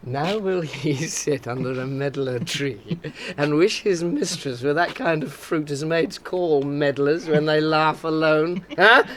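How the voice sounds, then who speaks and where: An actor playing a bawdy, playful young gallant in a Shakespearean audio play, teasing theatrically through the verse. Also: male voice, on the high side, measured pace, fairly bright tone, a little hiss or hum. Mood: drunken playfulness. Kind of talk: Shakespearean radio play acting.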